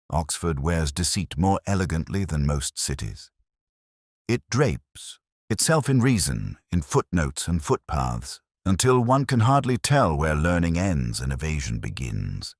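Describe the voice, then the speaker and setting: Male narrator, extremely low pitch, expressive intonation, solemn literary detective storytelling, measured delivery. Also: no echo, studio quality. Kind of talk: scripted first-person detective story narration.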